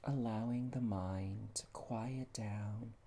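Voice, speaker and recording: A meditation guide speaking gently and soothingly, with long pauses and soft, breathy phrase endings. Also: medium pitch, steady tone, slight background noise.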